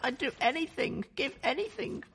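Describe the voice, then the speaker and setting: A woman's voice: high-pitched, animated, moderate pace, slight background noise. A woman playing an anxious, earnest young female pony heroine in a dramatized audiobook. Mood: desperate pleading.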